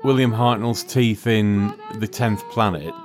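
A man's voice: fairly low pitch, unhurried, over music. Wry man commenting over a soundtrack. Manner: wry, opinionated. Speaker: podcast co-host. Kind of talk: casual commentary while watching.

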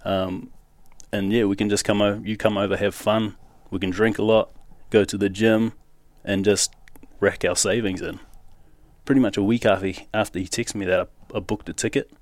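A man's voice: on the low side, steady tone, normal speed, no room sound. A young man from New Zealand with a Kiwi accent, candidly telling his life story as a podcast guest in a casual, slangy way with nervous laughs.

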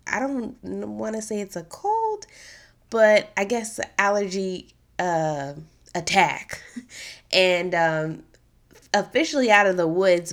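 A young adult woman hosting a solo podcast in a casual, chatty way, her voice a little raspy from allergies. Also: lowish voice. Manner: chatty, upbeat, informal slang. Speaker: podcast host.

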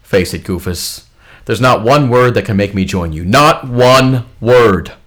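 A man's voice: fairly low pitch, measured pace, a bit bright. A man acting an exasperated grown movie star in a comedy sketch. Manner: exasperated, dismissive.

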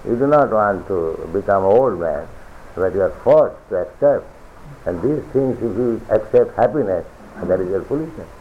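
A man giving a philosophical sermon as a spiritual teacher, lecturing deliberately, repeating phrases and reciting scripture. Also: fairly low pitch, lively intonation, slight background noise. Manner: deliberate, repetitive lecturing with chanted scripture quotes. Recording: tinny lo-fi archival recording.

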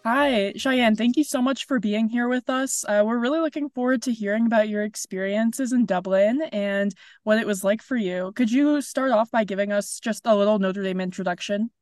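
A woman's voice: fairly high pitch, normal speed, few pauses, darkish tone, dry recording. Young woman host interviewing a student, encouraging replies, dry close mic. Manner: friendly, encouraging, many "very nice" and "wow" replies. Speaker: podcast host. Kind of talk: interview hosting.

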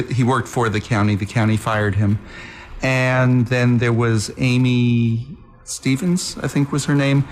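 A man hosting a talk show, recounting news in a chatty way with asides. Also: medium pitch. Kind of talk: casual talk show conversation.

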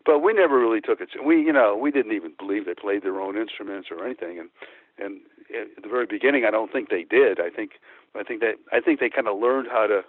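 A man's voice: on the low side, quick pace, breathy edge, no room sound. An older man who grew up in Chicago, a musician guest reminiscing casually in an interview, talking in a hesitant, rambling way. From Texas-born, grew up in Chicago. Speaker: guest, former band musician. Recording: tinny phone line, narrow band.

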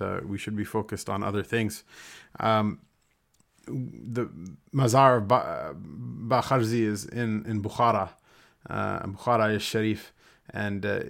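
A man with a fairly low voice gives an informal lecture as an Islamic teacher. He moves haltingly through his material, wandering into scholarly asides as he explains religious history. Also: creaky.

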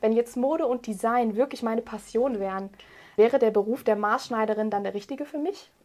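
A woman with a slightly high-pitched voice works as an interviewer. She delivers prepared introductions and questions in an orderly interview style. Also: moderate pace, warm tone.

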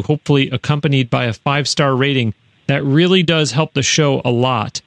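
A man hosting a podcast from a recording studio, reading a scripted sign-off with deliberate, clear enunciation. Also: mid-range voice, no echo. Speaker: podcast host. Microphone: close studio microphone.

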